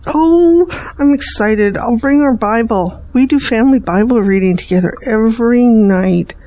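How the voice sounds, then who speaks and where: An actor, a woman, playing a sweet, excitable middle-aged mother in an audio drama. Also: fairly high pitch, a little slow, close to an everyday voice, poor audio. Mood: excited.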